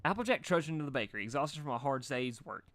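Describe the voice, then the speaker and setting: A man's voice: medium pitch, lively intonation, fast talker, fairly bright tone, no echo. Man narrating a story, dry sound. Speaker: fan fiction reader.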